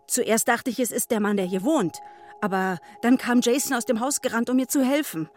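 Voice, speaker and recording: A woman's voice: fairly high pitch, brisk, a little hiss or hum, natural voice. A woman as an earnest, shaken witness. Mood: urgent, shaken. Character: shaken but resolute woman witness explaining a violent night. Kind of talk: audio drama acting.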